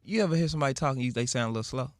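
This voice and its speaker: A man's voice: mid-range voice, dry recording, clean studio sound. A man co-hosting a casual podcast, joking and trailing off into laughter and restarts. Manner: animated, laughing, halting restarts. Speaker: podcast co-host. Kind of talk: casual group chat.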